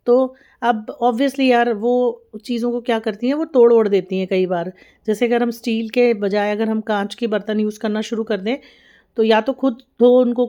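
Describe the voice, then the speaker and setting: A woman's voice: on the high side, mellow. Chatty woman vlogging in her kitchen. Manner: chatty, explains each kitchen step to viewers. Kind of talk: casual cooking vlog chat. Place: home kitchen.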